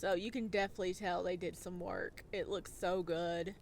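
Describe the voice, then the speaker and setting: A playful, expressive woman streamer reading a visual novel aloud and adding her own reactions. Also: lowish voice, moderate pace, gravelly. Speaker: video game streamer.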